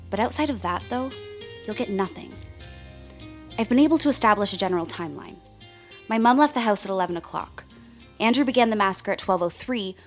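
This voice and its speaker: A woman's voice: mid-range voice, close to an everyday voice. A woman playing a blunt, sardonic woman in her thirties giving candid first-person narration in a radio play. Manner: frank, sardonic, swears.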